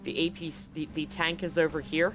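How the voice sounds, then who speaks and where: A man with a somewhat high voice runs a tabletop roleplaying game. He narrates casually and explains haltingly, often rephrasing as he goes. Music plays faintly underneath. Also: level tone. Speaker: game master.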